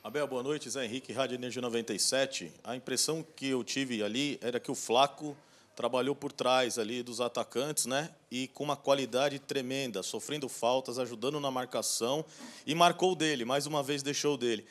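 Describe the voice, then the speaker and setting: A man's voice: medium pitch, fairly quick, fairly bright tone. A man with a Brazilian Portuguese accent, a radio sports reporter asking a question at a press conference.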